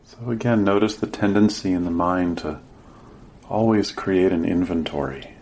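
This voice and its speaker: A man leading a guided meditation as a teacher, giving gentle, sparse instructions with long pauses. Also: sits low, a little hiss or hum.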